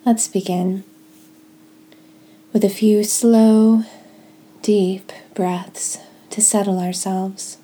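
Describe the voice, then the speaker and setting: A woman leading a guided devotional reading in a gentle, deliberate way, pausing between short phrases. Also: medium pitch, steady tone. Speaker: podcast host leading guided prayer and scripture reading.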